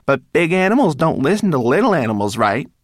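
A man voicing a small female rat in a storybook, shrill, sarcastic and scolding. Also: sing-song, fairly quick, no room sound, clean studio sound. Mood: cross, lecturing. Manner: emphatic, scolding.

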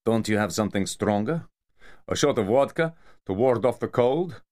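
A man voicing a big, irritable Russian mafia enforcer who grumbles gruffly. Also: fairly low pitch, animated, normal speed, a little mellow, voice pushed up, close to an everyday voice. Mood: grumbling.

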